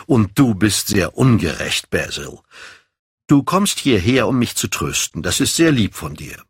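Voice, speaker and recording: A male reader plays a vain, self-absorbed young man in an audiobook. His delivery swings widely in pitch, haughty and declamatory, with a slightly husky grain. The sound is close and dry. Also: sing-song, measured pace, dry recording, exaggerated voice. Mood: airy, reproachful. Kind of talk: dramatic audiobook character reading.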